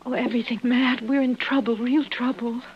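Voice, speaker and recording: A woman's voice: fairly high pitch, steady tone, a little fast, slightly rough. A woman acting a troubled, trusting young frontier wife in a 1950s radio western. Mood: worried, weary. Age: young adult. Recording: muffled, hissy old radio recording.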